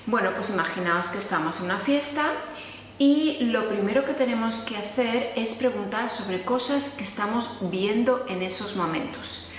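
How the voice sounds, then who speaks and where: A woman's voice: mid-range voice, normal speed, slight background noise. Woman from Spain teaching, echoey room. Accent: Spanish from Spain. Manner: explanatory, acts out sample dialogues. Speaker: Spanish teacher. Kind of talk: casual online lesson. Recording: echoey room with long reverb.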